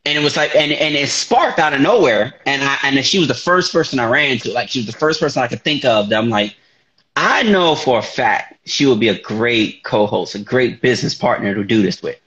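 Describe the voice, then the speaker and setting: A man's voice: medium pitch, lively intonation, brisk, a bit bright, muffled recording. A man in his thirties hosting a casual talk show, chatting loosely with frequent restarts and slang. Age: 30s. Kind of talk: casual chat.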